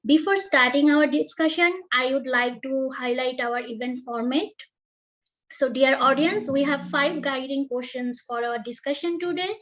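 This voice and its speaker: Woman moderator with a high voice, formal scripted delivery, dull lo-fi video-call sound. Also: normal speed, a bit bright. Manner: formal, reads prepared remarks. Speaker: panel chair, moderator. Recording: dull lo-fi audio.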